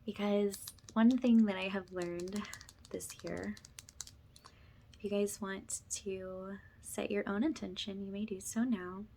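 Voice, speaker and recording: A woman speaking softly and gently as she leads an informal spiritual ASMR ritual, often slipping toward a whisper. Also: mid-range voice, fairly even intonation, slow, a little hiss or hum. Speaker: host, spiritual energy healer leading a session.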